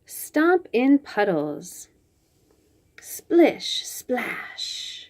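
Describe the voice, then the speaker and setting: Female children's storyteller, very unhurried, animated and expressive, picture-book read-aloud with conversational asides. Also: a little high. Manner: expressive, drawn-out reading with chatty asides.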